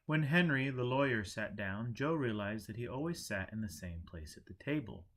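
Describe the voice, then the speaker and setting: A man's voice: medium pitch, animated, normal speed, fairly bright tone. Man narrating a storybook. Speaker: audiobook reader.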